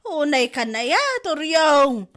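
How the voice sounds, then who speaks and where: A woman acting a scolding mother in a comedy drama who talks in loud nagging exclamations. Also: high-pitched, animated, slow, exaggerated voice. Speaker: Nana, a mother.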